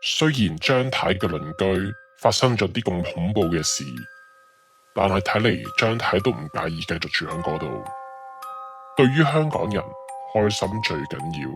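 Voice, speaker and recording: A man's voice: low-pitched, animated, moderate pace. A man with a Hong Kong Cantonese accent narrating a scripted ghost-story podcast as host. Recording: music playing under the voice.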